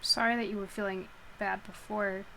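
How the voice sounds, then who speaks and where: Female stream host, slightly nasal, rambling friendly chatter, middle pitch, headset mic at home. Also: level tone, normal speed, vocal fry, bright, forceful tone, a little hiss or hum, poor audio. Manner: rambling, chatty, laughs easily. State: a little nasal. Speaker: live stream host and book reader. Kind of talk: casual chat with viewers before a reading. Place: at home in her bedroom. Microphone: headset while wearing headphones.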